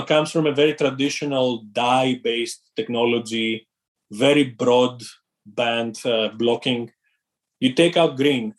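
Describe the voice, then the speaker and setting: A middle-aged man from Greece, a company founder being interviewed, speaking thoughtfully with pauses and restarts. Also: mid-range voice. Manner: thoughtful, measured, with fillers and restarts. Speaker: company founder and CEO, guest. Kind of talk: interview conversation.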